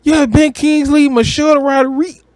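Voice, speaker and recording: A man co-hosting a podcast, chatting casually about movies and games in an animated, exclamatory, slangy way. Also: very high voice, moderate pace. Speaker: podcast co-host.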